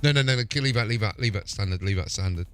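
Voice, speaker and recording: Deep-voiced man streaming casually. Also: quick pace, over music. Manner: informal, matey, sweary. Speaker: game streamer. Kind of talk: casual live stream chat, troubleshooting software.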